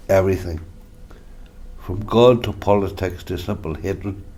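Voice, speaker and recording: A man playing an elderly war veteran grandfather in a radio drama, sombre and bitter, speaking in a grave, reflective, deliberate way. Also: deep voice, warm tone. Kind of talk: amateur radio drama monologue.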